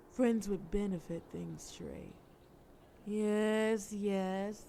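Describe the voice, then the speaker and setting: A woman's voice: medium pitch, dark tone, a little hiss or hum, lightly acted. A woman playing a cunning, flirtatious mafia boss in a scripted audio roleplay, sultry, persuasive and teasing, with cool threat. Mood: playful, flirtatious.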